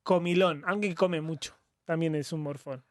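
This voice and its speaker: A man with a Spanish accent co-hosting a casual football podcast, playful, speaking halting English mixed with Spanish phrases. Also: on the high side, steady tone, dry recording, studio quality. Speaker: co-host teaching football words. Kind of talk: casual bilingual podcast chat.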